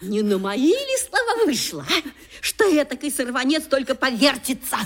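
A woman's voice: high voice, big pitch swings, moderate pace. An actress playing a coarse, uneducated older stepmother in a radio play, scolding with sarcastic, wailing folksy nagging. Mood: scolding, sneering. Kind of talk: Russian radio play acting.

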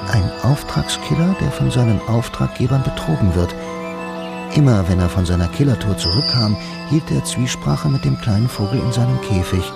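An actor playing a blind, brooding film-noir loner who narrates a radio drama in terse, dreamy lines. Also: male voice, mid-range voice, lively intonation, normal speed, creaky. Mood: wistful. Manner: terse, lyrical sentences. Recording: music under the voice. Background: music.

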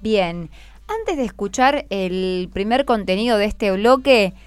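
A woman with a clear, smooth voice and a Buenos Aires accent hosts live radio in a studio. She is encouraging and asks plenty of questions, with faint music behind her. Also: mid-range voice, expressive, takes it slowly, no room sound. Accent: Argentine, Buenos Aires. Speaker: radio host. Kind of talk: unscripted school radio hosting with children.

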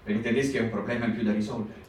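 A man giving a lively, urgent narration during a staged dramatic reading. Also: on the low side, rapid-fire. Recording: echoey stage reading from far off, faint hiss.

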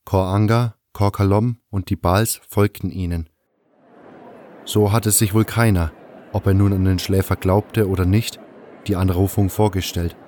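Male narrator for a fantasy radio play: lowish voice, storytelling in first person, faint ambient noise underneath. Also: warm tone. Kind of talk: scripted audio drama narration.

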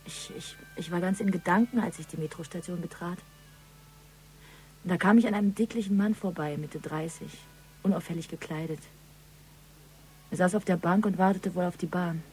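An actress playing a shy, flustered young witness in a radio crime drama, speaking softly and haltingly. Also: female voice, lowish voice, fairly even intonation, slightly rough, low-quality recording. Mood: hesitant, recollecting. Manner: halting, hesitant, soft-spoken.

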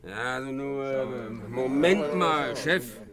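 Stubborn henchman, swooping pitch. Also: animated, slow pace, a crowd in the background. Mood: stubborn haggling. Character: stubborn, money-minded male railway-worker henchman. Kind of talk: 1950s German satirical crime radio play.

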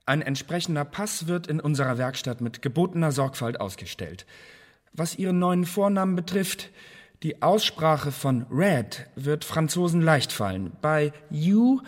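An actor playing a meticulous, bureaucratic secret service officer in a German radio play, talking in a precise, officious and formal way. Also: male voice, a little high. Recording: reverberant hall-like room.

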